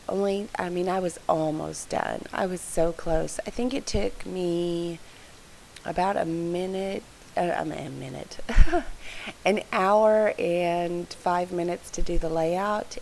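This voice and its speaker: A woman's voice: fairly low pitch, a little mellow, slight background noise, low-quality recording. A woman with a froggy, congested voice from allergies, chatting in a rambling, apologetic way as she narrates her scrapbooking process from her home craft room. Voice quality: froggy, gravelly voice. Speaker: scrapbooking video host.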